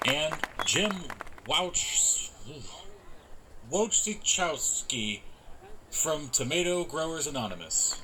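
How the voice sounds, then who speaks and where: An actor playing a genial, folksy middle-aged man who emcees a community event in a measured public-speaking manner. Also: fairly high pitch, very animated, crowd noise, background noise. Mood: genial, welcoming. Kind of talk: public welcome speech.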